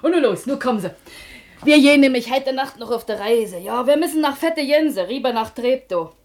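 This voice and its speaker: A woman's voice: high voice, expressive, normal speed. An actress playing a shrewd, bossy working-class washerwoman in a radio play, scolding and wheedling in a Berlin accent. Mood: brisk, wheedling. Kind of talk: stage-comedy radio play acting in dialect.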